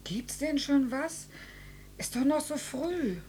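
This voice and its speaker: A woman playing a mild, self-effacing dead mother who still talks, fussy and gently plaintive, in a German radio drama. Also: sits high, slow pace. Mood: weak, resigned.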